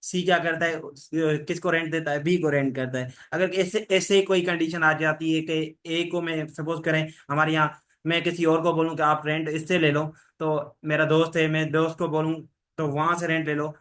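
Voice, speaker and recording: Male tutor with a fairly high voice, steady and even delivery, explaining legal points to a class. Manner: explains in Hindi mixed with English legal terms, reads rules slowly. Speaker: teacher. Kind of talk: online law lecture.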